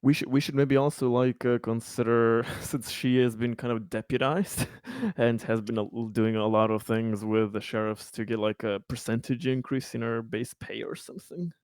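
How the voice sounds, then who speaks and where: A man's voice: medium pitch, normal speed, a little mellow, clear, resonant tone, no room sound, studio quality. A man playing a tabletop game, talking casually with the group.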